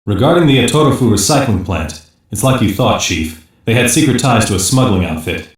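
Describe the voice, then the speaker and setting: A man playing a sober security-police investigator in a sci-fi game, delivering measured, factual reports. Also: medium pitch, animated, natural voice. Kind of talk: English animated sci-fi game voice acting. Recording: very echoey, distant-sounding game audio.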